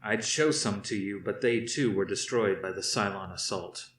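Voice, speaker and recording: A man reading aloud, voicing a stern older fleet commander who speaks slowly and firmly to his officers. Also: on the low side, a bit bright, reverberant, recorded from a distance, slight background noise, natural voice. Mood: explaining. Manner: slow, measured, commanding.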